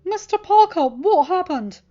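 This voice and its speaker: A woman voicing a stern male school principal with a firm, formal, measured manner in a story. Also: very high-pitched, expressive, mellow, muffled recording, voice pushed up. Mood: concerned.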